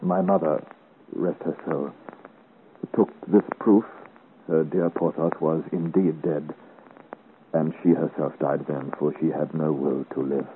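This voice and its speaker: A man's voice: rumbling low, fairly even intonation, measured pace, pauses often. An actor playing an earnest, gallant young swordsman in a 1950s radio serial, speaking in formal, courtly period diction. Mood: sorrowful. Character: earnest, gallant young swordsman of great size, seeking his father's name. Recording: muffled, hissy old radio serial recording.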